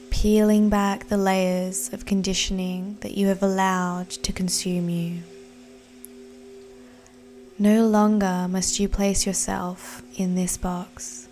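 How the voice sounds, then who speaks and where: Slow, hushed woman guiding a meditation. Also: mid-range voice. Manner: soothing, deliberate, breathy and often near-whispered. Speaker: meditation guide. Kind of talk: guided meditation narration. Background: soft background music.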